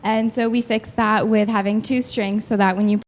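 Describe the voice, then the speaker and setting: A woman's voice: medium pitch, level tone, moderate pace. A young woman from Virginia, hesitantly explaining a programming problem. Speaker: student presenter. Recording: reverberant hall.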